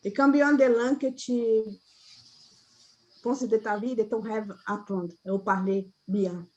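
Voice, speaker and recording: Woman guest, mid pitch, halting learner's conversation. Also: fairly even intonation, a little mellow, dull audio. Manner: hesitant, simple phrasing. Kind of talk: casual chat in a learned language.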